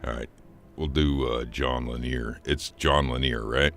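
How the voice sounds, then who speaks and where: A man's voice in a short played clip, processed with a pitch shifter and time stretching. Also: steady tone, unhurried, vocal fry, fairly bright tone, soft background music.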